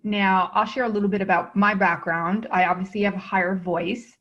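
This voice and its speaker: A woman's voice: mid-range voice, fairly even intonation, fairly quick. A woman hosting an interview, enthusiastic and affirming. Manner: enthusiastic, affirming, many "mm-hmm"s. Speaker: speaking coach, host. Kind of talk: interview host chat. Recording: dull lo-fi sound.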